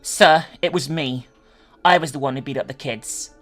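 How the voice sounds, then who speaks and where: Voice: medium pitch, normal speed, a bit bright, faint music behind, natural voice. A performer voicing a guilt-ridden teenage boy, earnest and pleading, in a dramatic story reading. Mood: confessing.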